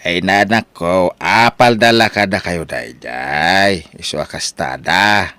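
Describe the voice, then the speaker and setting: A man's voice: low voice, slow, sharp tone, smooth, no room sound, heavily acted. A man playing a plain-spoken older father who advises his grown children emphatically in an Ilocano audio drama. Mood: admonishing.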